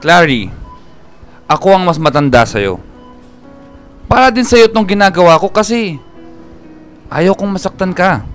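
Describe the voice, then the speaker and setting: A man's voice: sits high, big pitch swings, unhurried, long pauses, a bit bright, full character voice. A man playing an aloof, irritable, secretive older brother with a Filipino accent in a radio drama, brusque and dismissive. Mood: earnest, weary. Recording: music bed behind the voice.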